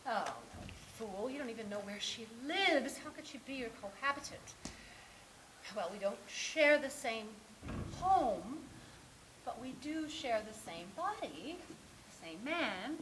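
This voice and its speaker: An actress playing an anxious, clumsy, lovelorn woman in a theatrical monologue, halting and scolding herself with dramatic swings. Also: fairly high pitch, big pitch swings. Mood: self-scolding, excited. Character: anxious, clumsy, lovelorn mistress rehearsing a dreaded phone call. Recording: echoey room with faint noise.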